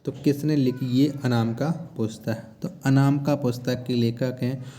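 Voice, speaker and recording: Mellow-voiced male exam coaching teacher. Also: medium pitch, steady tone, unhurried, a little hiss or hum. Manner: repetitive, asks then repeats answers. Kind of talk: quiz lesson, question then answer.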